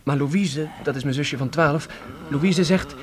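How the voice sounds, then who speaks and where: A man's voice: fairly high pitch, measured pace, a little hiss or hum, natural voice. An actor playing an earnest, dutiful fourteen-year-old pioneer boy in a radio play, polite and slightly hesitant. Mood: dutiful, subdued. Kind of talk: Dutch radio play acting.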